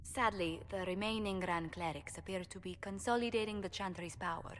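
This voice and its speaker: An actress playing a polished, pragmatic ambassador in a game cutscene, formal, articulate and tactful. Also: female voice, mid-range voice, fairly quick, natural voice. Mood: measured, concerned. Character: polished, diplomatic female ambassador, measured and pragmatic. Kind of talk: video game cutscene acting. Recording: hall reverb, faint background noise.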